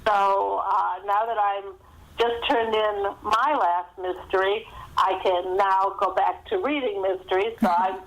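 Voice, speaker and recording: A woman's voice: medium pitch, steady tone, measured pace, low-quality recording. A woman speaking as a guest author in a casual podcast interview, chatty and self-deprecating.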